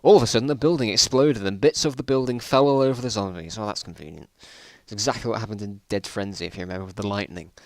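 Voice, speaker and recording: A man's voice: on the low side, fast talker, hardly any pauses, no room sound. British man, amused and dramatic, dry close sound. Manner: amused, incredulous, breaking off to comment. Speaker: reader of his own old school stories. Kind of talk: informal dramatic reading with mocking asides.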